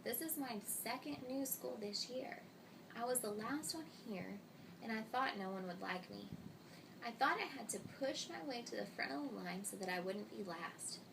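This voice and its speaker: A lonely schoolgirl from a storybook, played by a woman, blunt and defensive, with a creaky edge. She is heard from a distance through loud noise. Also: a little high, expressive, moderate pace. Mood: apologetic. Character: prickly, lonely new schoolgirl.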